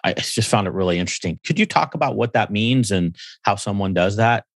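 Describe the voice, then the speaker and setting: A man hosting a business podcast who asks short questions and gives quick acknowledgements. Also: lowish voice, animated, no echo, clean studio sound. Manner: brief, encouraging questions and acknowledgements. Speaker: podcast host. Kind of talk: casual interview chat.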